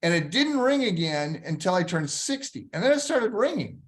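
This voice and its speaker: A man's voice: high-pitched, very animated, normal speed. A man in his sixties hosting an interview, telling anecdotes and asking layered follow-up questions in a casual chat. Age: 60s. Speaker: interview host.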